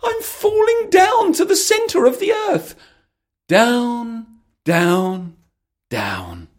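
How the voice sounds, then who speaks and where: A storybook reader voicing a curious, excited little girl with a breathy, squealing voice. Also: takes it slowly, heavily acted. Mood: thrilled. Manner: high-pitched, squealing exclamations. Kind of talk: storybook character voice.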